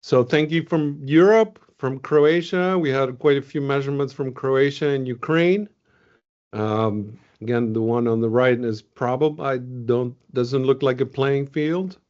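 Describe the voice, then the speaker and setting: A man's voice: mid-range voice, a little slow, a little mellow. Chatty man on a muffled video call. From Venezuela. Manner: loose and chatty, many ums and restarts. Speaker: scientist presenting results. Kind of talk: casual webinar presentation.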